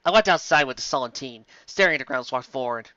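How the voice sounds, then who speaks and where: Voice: medium pitch, lively intonation, fast talker, bright, forceful tone, no echo, muffled recording. A theatrical, exasperated host giving a comic dramatic reading of fan fiction, with asides.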